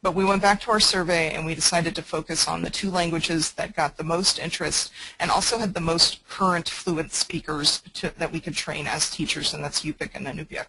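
A woman's voice: sits low, fairly even intonation, brisk, few pauses, pushed and bright, breathy edge, no room sound, poor audio. A woman presenting on a webinar call, speaking plainly and practically with many fillers.

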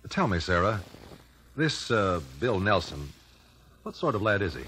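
Low, bright-voiced probing lawyer. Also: male voice, sing-song, heavily acted. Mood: curious. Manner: measured, formal, pressing. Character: probing, composed gentleman lawyer questioning witnesses. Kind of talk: 1950s radio drama acting. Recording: faintly noisy old radio recording.

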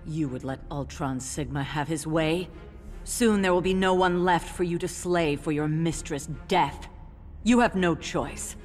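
An actress playing a grim, determined heroine in a video game, stern and insistent. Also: female voice, deep voice, a little slow, distant mic, soft background music. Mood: grave, urgent. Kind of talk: video game cutscene acting.

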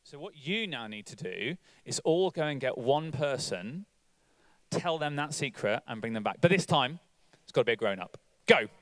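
A man in his forties giving an energetic all-age church talk on a hall stage, coaxing volunteers into a game. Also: medium pitch, normal speed. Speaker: church leader. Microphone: handheld mic.

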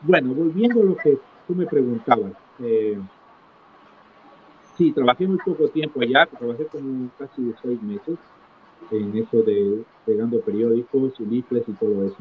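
A middle-aged man recounting his life as an interview guest, in a halting manner, repeating phrases for emphasis. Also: mid-range voice. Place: small indoor room, video call. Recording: tinny phone-like call with clear noise.

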